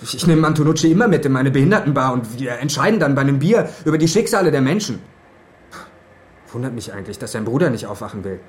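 A man's voice: medium pitch, sing-song, fast, lightly acted. An actor playing a sardonic young man in a radio drama, answering with dry, sarcastic comebacks. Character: sardonic young wheelchair-using male colleague, quick with comebacks. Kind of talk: naturalistic radio drama acting.

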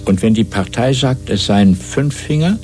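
An actor playing a cold, patient, fanatical party interrogator in a 1970s German radio play, lecturing in a measured way between soft murmurs and emphatic questions. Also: male voice, mid-range voice, animated, soft background music, close to an everyday voice. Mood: stern, insistent.

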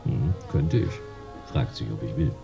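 An actor playing a weary, sardonic private detective who narrates and talks in laconic, dry lines in a radio drama. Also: male voice, rumbling low, lively intonation, unhurried, creaky, muffled recording, music in the background, full character voice. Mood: guarded, dry. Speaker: narrator and lead character.

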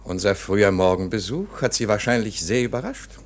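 Gravelly, condescending inspector. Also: male voice, on the low side, lively intonation. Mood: cool, probing. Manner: terse, measured. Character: cool, condescending inspector interrogating a suspect. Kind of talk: German radio drama acting.

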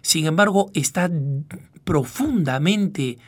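A middle-aged man from Peru, raised in Argentina, hosting a reflective radio commentary in a measured, halting way as he searches for words. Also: high voice, animated. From born in Peru, raised in northwestern Argentina. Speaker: radio program host, news agency director.

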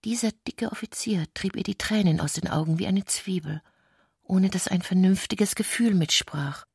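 A woman narrating a literary radio play in a measured, precise manner. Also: medium pitch, gravelly, a little mellow, breathy edge, no room sound, studio quality.

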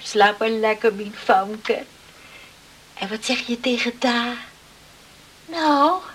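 An actress in a radio play playing a gentle middle-aged mother and wife, speaking in a soft, tender, pleading way. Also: a little high, slow, husky, heavily acted. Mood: tender, soothing. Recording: hissy old radio recording.

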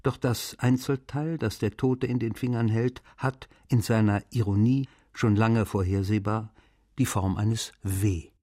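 An actor playing a male writer, reading in a measured, literary way for a radio feature. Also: lowish voice, steady tone, warm tone, dry recording, clean studio sound. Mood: solemn, measured. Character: French male writer reading his friendly letters aloud.

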